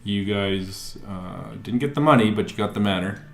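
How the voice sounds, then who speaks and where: A man narrating as dungeon master, describing scenes in a relaxed way with many fillers. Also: on the low side, moderate pace, vocal fry, roomy sound. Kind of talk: narrating a tabletop game.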